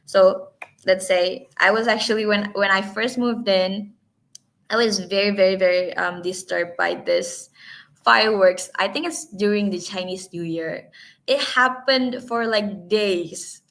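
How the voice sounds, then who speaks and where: Young woman, from Indonesia, Indonesian native speaker, webinar speaker, hesitant, many fillers, medium pitch. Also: unhurried, fairly bright tone. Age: young adult. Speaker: guest speaker. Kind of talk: webinar talk.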